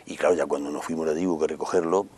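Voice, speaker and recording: A man with a Castilian Spanish accent in casual conversation, speaking in halting, repeated phrases. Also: a bass voice, steady tone, a little mellow, slight background noise. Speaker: folk dance association member. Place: indoor room, small folk museum.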